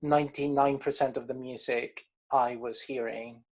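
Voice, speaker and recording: Man, hesitant and halting. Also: mid-range voice, steady tone. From Caribbean coast of Colombia. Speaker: band musician being interviewed. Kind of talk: casual interview chat.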